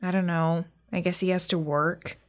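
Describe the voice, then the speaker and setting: An actress playing a self-conscious, anxious young eldest sister with an American accent, in a naturalistic modern audio drama. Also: female voice, fairly low pitch, little pitch movement, moderate pace, close to an everyday voice. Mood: downcast. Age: young adult. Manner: self-deprecating, earnest.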